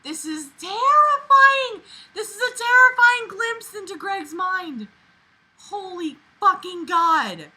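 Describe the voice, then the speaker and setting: A woman reading aloud and commenting with exasperated, animated, emphatic reactions that swing dramatically in intensity. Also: very high-pitched, takes it slowly.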